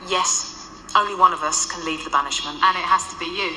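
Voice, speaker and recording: An actress playing the ghost of a centuries-old nun in a radio drama, dry, sardonic and ruthless. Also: female voice, on the low side, brisk, roomy sound, slight background noise, rough recording. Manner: dry, sardonic, explains calmly.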